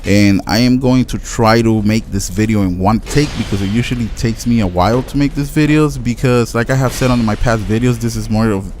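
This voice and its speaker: A man's voice: mid-range voice, brisk, few pauses, a little mellow. Rambling man with a Spanish accent. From Latin America. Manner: rambling, stutters and restarts often. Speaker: gaming YouTuber. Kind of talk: casual gameplay commentary. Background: faint household noise, dog barking.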